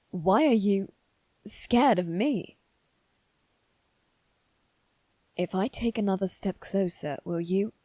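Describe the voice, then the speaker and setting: A woman voicing a haughty, anxious young noble boy in a halting, formal monologue for dramatic ASMR roleplay. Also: medium pitch, darkish tone, no room sound. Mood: puzzled, wary. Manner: halting, formal, self-reassuring delivery.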